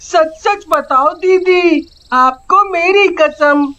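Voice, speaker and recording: Woman doing tiny cartoon village boy, super high voice, slow and earnest, bit muffled recording. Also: fairly bright tone, exaggerated voice. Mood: worried, upset. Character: small, earnest, loving five-year-old village boy.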